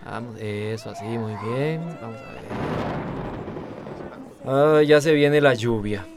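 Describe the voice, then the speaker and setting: A man playing a calm health worker in a radio drama, gentle and reassuring. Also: mid-range voice, takes it slowly, noisy background. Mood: soothing. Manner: gentle, reassuring, soft-spoken. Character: calm, soothing male health worker at shelter.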